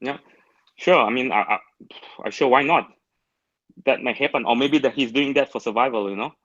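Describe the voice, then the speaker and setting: A man from Malaysia, speaking English as a second language, answers as a guest in casual discussion, blunt and halting with restarts. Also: medium pitch, a bit bright, no echo, lo-fi sound. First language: not English.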